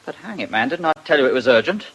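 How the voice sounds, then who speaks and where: An actor playing a jovially menacing blackmailer in a radio drama, with a mocking, falsely chummy manner. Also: male voice, medium pitch, very animated, quick pace, a bit bright, husky, lo-fi sound, full character voice. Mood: threatening.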